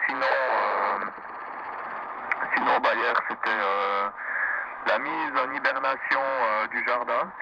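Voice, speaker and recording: Swiss french guy rambling on ham radio, halting casual chat, loud static, dull sound. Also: mid-range voice. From Switzerland. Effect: shortwave radio transmission. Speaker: amateur radio operator. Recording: very noisy, narrow-band shortwave radio reception.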